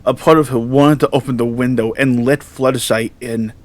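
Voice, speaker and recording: A man reading scripted horror fiction as narrator in a measured, solemn storytelling manner. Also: mid-range voice, fairly even intonation.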